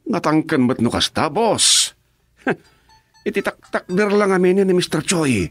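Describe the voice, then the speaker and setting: A man playing an eager, questioning henchman with a husky voice in an Ilocano radio drama. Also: high voice, expressive, measured pace, dry recording, exaggerated voice.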